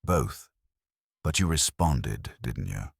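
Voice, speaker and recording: A man reads as a self-possessed, calculating woman in a mystery audiobook. The voice is low with wide swings of pitch, composed yet sharp, in clipped retorts. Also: very animated, slow, gravelly, breathy edge, no echo, studio quality, heavily acted. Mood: cool, measured. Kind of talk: audiobook detective story dialogue.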